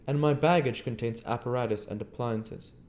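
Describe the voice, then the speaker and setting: A brusque and secretive gentleman from a storybook, acted out by a male reader. His pitch is pushed well up from the reader's low register. He speaks formally and emphatically, like one used to giving orders. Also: a little hiss or hum, natural voice. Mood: brisk, insistent. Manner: formal, emphatic, deliberate phrasing. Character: brusque, imperious bandaged male stranger, a secretive investigator. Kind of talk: storybook character voice in an audiobook reading.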